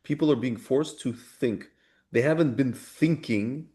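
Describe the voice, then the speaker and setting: A man's voice: medium pitch, measured pace, warm tone. A man co-hosting a casual discussion podcast, colloquial and insistent, repeating phrases for emphasis as he gives his opinions. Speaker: podcast co-host.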